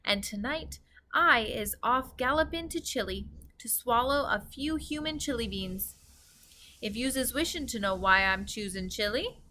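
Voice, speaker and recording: A woman voicing a brutish, scornful male giant in a storybook, shouting in garbled comic grammar. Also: on the high side, lively intonation, measured pace, slight background noise. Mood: gloating, scornful.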